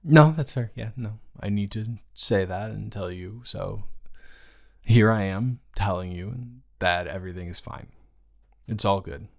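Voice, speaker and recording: Man acting a halting, smitten boyfriend. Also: fairly low pitch, gravelly, voice pushed down. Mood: soft, teasing, reassuring. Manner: halting, repeats words, teasing. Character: smitten young boyfriend, playfully dramatic, sweetly nervous. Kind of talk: improvised romantic audio roleplay, one-sided conversation.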